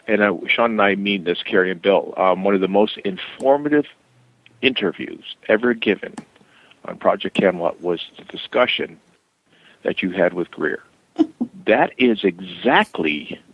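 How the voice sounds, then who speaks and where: A man being interviewed who speaks in a measured, hesitant way with many fillers. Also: deep voice, dull audio. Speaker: interview guest. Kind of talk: casual phone interview.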